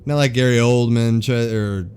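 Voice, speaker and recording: A man's voice: mid-range voice, fairly even intonation, moderate pace, darkish tone, clean tone. A man co-hosting a film podcast in casual banter, opinionated and sweary with slangy phrasing. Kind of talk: casual banter reviewing films.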